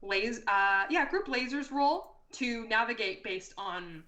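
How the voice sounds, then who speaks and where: A woman's voice: on the high side, animated, slow pace, sharp tone. A woman running a tabletop role-playing game as game master, improvising narration for the players. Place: indoor room, live online stream. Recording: roomy, echoey sound.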